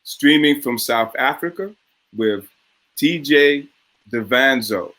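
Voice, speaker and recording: Bright-voiced male interview host. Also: mid-range voice, slow. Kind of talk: casual interview.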